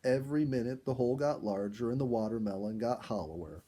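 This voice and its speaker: A man reading a children's story aloud as an expressive storyteller in scripted narration. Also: mid-range voice, fast, breathy edge.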